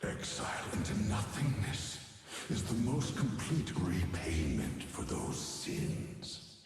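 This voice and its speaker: Grave, formal martial master for a video game, slow with dramatic swells, music behind. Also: male voice, low voice, very animated, vocal fry, a little hiss or hum, exaggerated voice. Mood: ominous, taunting. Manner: solemn, formal, deliberate. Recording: cavernous reverb, music behind.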